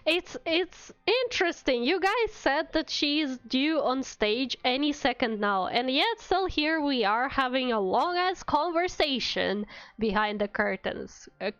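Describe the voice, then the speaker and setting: A woman with a fairly high voice comments on a game like a streamer. She is informal and wry, and the audio is a little dull. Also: animated, a little slow, fairly bright tone, no echo, soft background music. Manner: informal, wry, mildly sweary. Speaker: VTuber streamer. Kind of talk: casual game livestream commentary.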